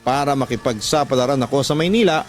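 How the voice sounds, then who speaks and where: A man's voice: medium pitch, fast talker. A man with a Filipino accent reading a scripted first-person story as a dramatic radio narration. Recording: background music under the voice. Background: music.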